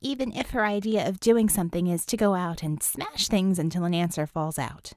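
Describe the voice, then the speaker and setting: A woman's voice: fairly low pitch, a little fast, a little mellow, no room sound, studio quality, lightly acted. A woman voicing an outspoken, feisty young female superhero with a blunt, informal and emphatic way of talking in an audiobook. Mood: exasperated.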